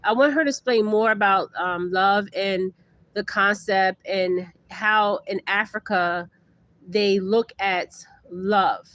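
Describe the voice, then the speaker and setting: A woman hosting a live stream, talking in a relaxed, halting way with many fillers. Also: medium pitch, dry recording, muffled recording. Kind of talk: casual live stream chat.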